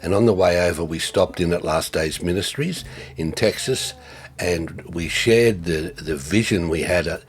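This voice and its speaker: An older man, giving a reflective tribute in a halting way with many fillers and restarts. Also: deep voice. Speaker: former ministry co-worker.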